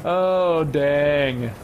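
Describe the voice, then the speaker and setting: A man's voice: a little high, slight background noise. A man in his thirties giving casual commentary as he hosts a gaming let's play from a room at home. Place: indoor room with bookshelves.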